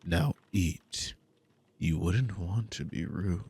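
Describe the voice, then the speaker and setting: Man acting a deep, husky, possessive demon. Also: darkish tone, heavily acted. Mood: stern, commanding. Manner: slow, teasing, theatrical, formal wording. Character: dominant, possessive male demon, mockingly amused and condescending. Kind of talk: ASMR audio roleplay spoken to the listener.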